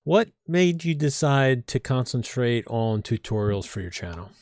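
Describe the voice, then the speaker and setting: Relaxed male podcast host, dry sound. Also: mid-range voice, measured pace, dry recording. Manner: relaxed, friendly, says dude. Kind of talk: casual interview chat.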